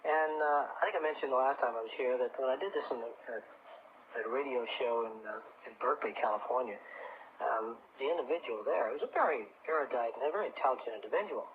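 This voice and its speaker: A man's voice: mid-range voice, fast. A middle-aged American man telling anecdotes as a radio guest in a folksy, chatty, emphatic way. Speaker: radio show guest, crystal expert. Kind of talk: animated anecdotal storytelling in a radio interview. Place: live radio broadcast. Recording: muffled phone-like 1980s radio tape, hissy.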